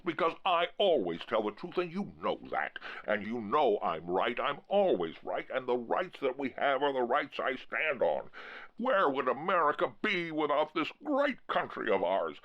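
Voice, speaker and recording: A blustering, self-righteous male demagogue, acted by a man for radio satire. His pitch swings wildly as he insists pompously, through a dull, noisy low-fidelity recording. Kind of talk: satirical radio-play speech.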